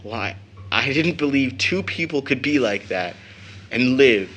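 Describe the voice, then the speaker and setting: An earnest young son in an audio drama. His voice is bright and tense, his pace very slow. He speaks in halting, emotional phrases, full of pride and bitter intensity. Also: mid-range voice, animated, a little hiss or hum. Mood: awed, earnest.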